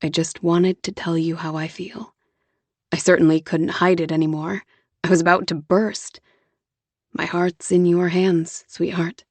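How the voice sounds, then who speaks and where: A woman putting on a tender young man in love, speaking low and hushed in an audiobook. His words come slow and coaxing, with a soft, gentle weight. The recording is dry and close. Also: flat delivery, dry recording.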